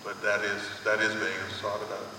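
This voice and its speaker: A man's voice: lowish voice, monotone, normal speed, background noise. A man answering a question as a government official at a town hall, hesitant and reassuring. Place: large sports hall. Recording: echoey hall, heard from far off.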